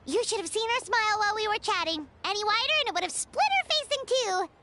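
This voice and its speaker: A woman voicing a small, chirpy, eager childlike fairy guide in a video game, with a squeaky, excitable delivery. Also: fast talker, heavy background noise. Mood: reassuring. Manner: speaks of herself in third person, excitable. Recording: music playing behind the voice.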